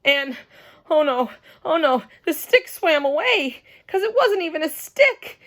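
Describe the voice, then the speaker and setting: A woman plays an excitable little girl from a storybook. The voice is pitched way up and swoops widely, with chatty, blurting, childish phrasing and plenty of drama. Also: unhurried, heavily acted. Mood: panicked.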